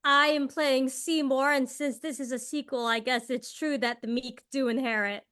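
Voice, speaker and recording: High bright female voice, podcast cast member just chatting. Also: fairly even intonation, moderate pace, clear, resonant tone. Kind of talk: casual chat.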